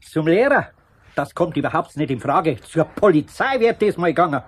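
Older Bavarian craftsman: husky, gruff, emphatic, stern but good-hearted. Children's radio drama. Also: a little high, sing-song, moderate pace. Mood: scolding. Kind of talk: 1970s children's radio play acting.

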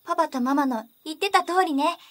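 A woman's voice: sits high, fairly quick, a bit bright. A woman voicing an innocent, earnest little sister in an anime-style voice drama. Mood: quiet, thoughtful.

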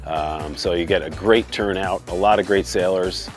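A sailor man answering interview questions after racing, halting with many fillers. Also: sits low. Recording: music bed behind.

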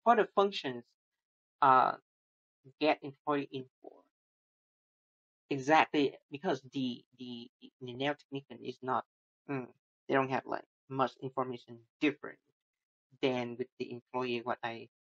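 Halting man walking through code. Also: medium pitch, a bit bright. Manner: halting, many restarts and fillers, non-native phrasing. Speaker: student presenting a coding project. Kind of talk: screen-recorded code walkthrough. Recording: dull lo-fi sound.

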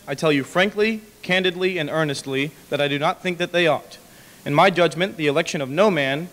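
A man's voice: a little high, a bit bright. A young man earnestly giving a formal, oratorical reading of a historical speech at a podium microphone. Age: young adult. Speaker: reader at a staged dramatic reading. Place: large indoor hall with small audience. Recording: echoey hall, podium microphone.

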